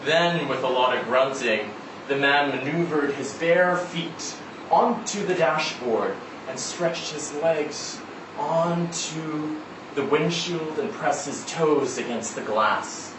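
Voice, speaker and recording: A man reading a literary short story aloud at a live event in a measured, expressive way. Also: fairly high pitch. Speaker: story reader. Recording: roomy, distant, noisy live event recording.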